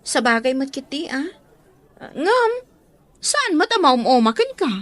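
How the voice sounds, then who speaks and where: Lively, nosy chatty woman. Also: high voice, a little slow, no room sound, heavily acted. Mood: surprised, prying. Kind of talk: Ilocano radio drama acting.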